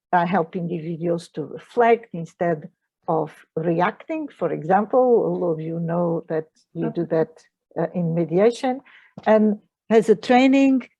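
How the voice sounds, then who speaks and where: A middle-aged woman with a Portuguese accent speaks in a low, gravelly, mellow voice. She lectures in careful but imperfect English, with frequent hesitations. The sound is dull and lo-fi, like an online call. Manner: frequent hesitations, non-native phrasing. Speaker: mediator and trainer giving a lecture.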